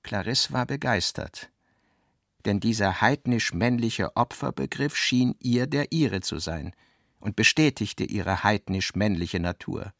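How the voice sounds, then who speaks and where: A man playing a literary narrator in a radio drama, measured and articulate, acting out the dialogue he quotes. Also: lowish voice, lively intonation, creaky, fairly bright tone, no echo, clean studio sound, exaggerated voice. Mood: elevated. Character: literary male narrator recounting and quoting his guest's talk.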